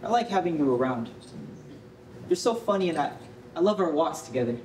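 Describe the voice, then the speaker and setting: An actor playing a shy, earnest young schoolboy with a hesitant way of talking in a staged reading. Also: male voice, animated, brisk, darkish tone, natural voice. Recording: far from mic, faint rumbling noise.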